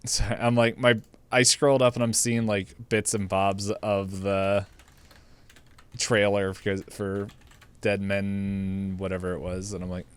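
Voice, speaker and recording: Guy chatting on a podcast, low smooth voice, kinda rambles and hesitates. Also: flat delivery, a little slow. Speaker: podcast co-host. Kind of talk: casual chat.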